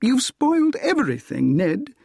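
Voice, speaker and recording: A poised, proud young woman of a storybook romance, acted by a man. The voice is lifted high and slightly husky, swinging widely in pitch while it reproves a suitor with gentle composure. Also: sing-song, unhurried, dry recording, clean studio sound, heavily acted. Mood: dismayed.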